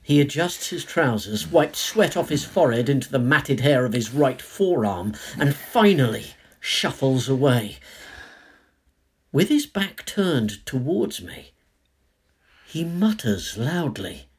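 Man playing a husky, uneasy storyteller. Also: medium pitch, lively intonation, takes it slowly. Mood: dread. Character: anxious male former game show host, narrating his own ordeal. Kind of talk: first-person literary story narration.

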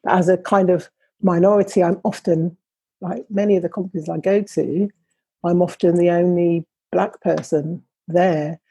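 A woman's voice: on the low side, fairly even intonation, normal speed, dark tone, breathy edge, dry recording. A middle-aged woman, an academic guest, speaking thoughtfully and measuredly in conversation, often restarting her sentences. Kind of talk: reflective interview conversation.

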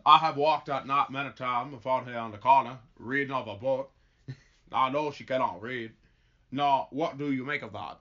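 A man acting a fretful, suspicious rustic husband, earnest and halting, in an amateur play reading. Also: medium pitch, pushed and bright, lo-fi sound. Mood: puzzled, earnest. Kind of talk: amateur stage-play read-through.

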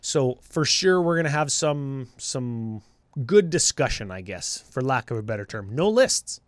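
A man's voice: medium pitch, very animated, measured pace, dry recording. A man co-hosting a casual podcast, upbeat and laughing often, his voice a bit scratchy from a cold. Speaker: podcast co-host. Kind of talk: casual chat.

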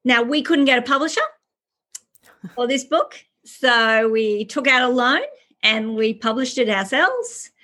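A woman's voice: a little high, unhurried, pushed and bright. A woman author and early childhood educator, answering podcast interview questions with earnest, passionate storytelling.

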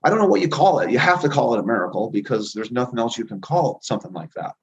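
A man's voice: mid-range voice, lively intonation, fast talker, dull audio. A man in his fifties, a guest on a call, talking emphatically and repeating himself with great confidence. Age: 50s. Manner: emphatic, repetitive, self-assured. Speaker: guest, energy healer. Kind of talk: casual interview talk.

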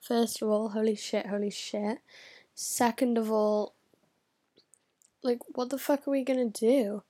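A woman's voice: a little high, steady tone, a little slow, long pauses, warm tone. A teenage girl, rambling casually to camera, swearing a lot and dropping into whispered asides. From United Kingdom. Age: teens. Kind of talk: casual vlog chat.

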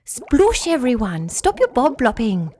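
A woman's voice: a little high, huge pitch swings, unhurried, warm tone, slight background noise, voice pushed up. A woman voicing a smart, sensible young female fish who firmly urges the others. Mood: urgent. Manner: insistent, urging.

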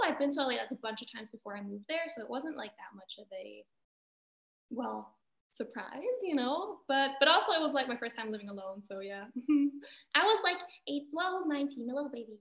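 A woman's voice: fairly high pitch, expressive, moderate pace, vocal fry, a bit bright. Bubbly Austrian-born woman, echoey room. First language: German. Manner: chatty, bubbly, many "like" fillers and laughs. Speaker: guest, singer and actress. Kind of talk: casual interview chat.

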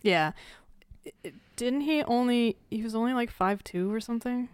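A woman's voice: fairly high pitch, moderate pace, clear, resonant tone, dry recording, studio quality. Playful, chatty woman podcast co-host. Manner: playful and chatty, leads the case narration. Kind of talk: casual true-crime chat.

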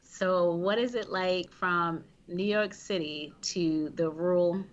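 A woman hosts an interview, asking questions conversationally and sometimes stumbling into restarts. Her voice sits on the low side. The sound is somewhat dull and lo-fi. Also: takes it slowly. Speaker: interview host.

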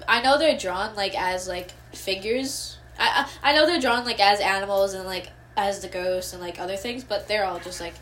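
A young woman in casual chat, rambling with lots of fillers and getting excitable. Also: medium pitch, normal speed, hardly any pauses, a little hiss or hum.

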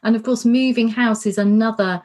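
Candid woman coach, slightly hoarse. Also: fairly high pitch, fairly even intonation, moderate pace. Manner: warm, candid, self-reflective, repeats words for emphasis. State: slightly losing her voice. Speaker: coach and podcast host. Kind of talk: casual spoken workshop talk.

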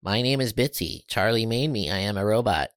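A man's voice: lowish voice, fairly quick, clear, resonant tone, no echo, clean studio sound. Bitsy, a polite robot, as a man reads him. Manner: flat, literal, formal phrasing. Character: polite calm boy-built robot, male.

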